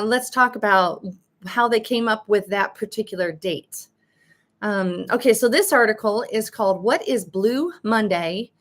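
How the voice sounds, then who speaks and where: An older woman hosting a live coaching podcast, chatting casually with ums as she reads articles aloud, her voice a little raspy. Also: fairly high pitch, fairly bright tone. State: slightly raspy voice. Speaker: life coach and podcast host. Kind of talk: live-streamed podcast, reading articles and greeting chat.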